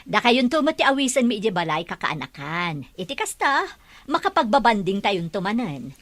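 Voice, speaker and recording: A caring middle-aged aunt, acted by a woman in an Ilocano radio drama. Her medium-pitched voice rises and falls animatedly, recorded close and dry. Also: very animated, moderate pace, a bit bright, dry recording. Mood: encouraging.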